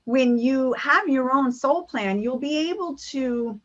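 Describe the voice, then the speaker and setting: A woman's voice: sits high, slow, lo-fi sound. A woman giving an earnest spiritual talk over a video call, with many ums and restarts. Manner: earnest, many fillers, speaks of spiritual energy. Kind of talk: online talk and Q&A.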